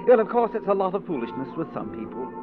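An actor playing a fussy, chatty neighbor making genteel small talk, in a muffled old radio drama. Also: male voice, high voice, big pitch swings, fast talker. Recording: muffled 1940s radio recording with music.

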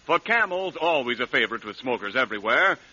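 Bright, animated 1940s radio announcer man. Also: mid-range voice, fast talker, husky. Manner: polished, emphatic salesmanship. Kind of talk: 1940s scripted radio advertising. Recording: dull 1940s radio recording.

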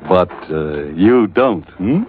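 An actor playing a brash, tough, insinuating first mate in 1940s radio drama. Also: male voice, low voice, animated, takes it slowly, slight background noise. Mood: cheeky. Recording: dry, tinny old radio sound.

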